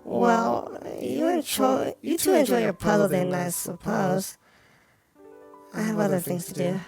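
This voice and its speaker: Woman doing a jealous porcelain doll in a game, prim n pouty, creaky edge, loud music behind. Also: medium pitch, measured pace. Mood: sulky. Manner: prim, formal phrasing.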